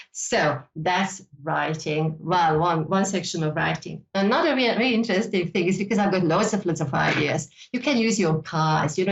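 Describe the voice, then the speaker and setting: A woman with a Hungarian accent, native speaker of Hungarian, hosting a psychic show and teaching in a loose, rambling way, often repeating words and adding "you know". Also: lowish voice. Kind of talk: casual teaching talk. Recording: dull lo-fi sound.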